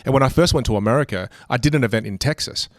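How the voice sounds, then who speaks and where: A man's voice: mid-range voice, racing, no room sound. A man with an Australian accent that leans British, a podcast guest talking casually and animatedly about communication. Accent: Australian, leaning British. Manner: animated, acts out other voices. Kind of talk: casual conversation.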